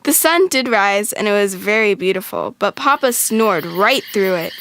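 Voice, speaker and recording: Expressive woman narrating a children's storybook. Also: medium pitch, moderate pace, a little hiss or hum.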